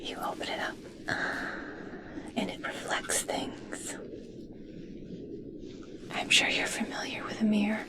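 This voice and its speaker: A slow, breathy whisper from a woman acting as a female scientist in an ASMR roleplay. She is obsessed and awestruck, speaking haltingly and with gentle fascination, as if studying a rare creature up close. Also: mid-range voice, big pitch swings. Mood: fascinated, gentle.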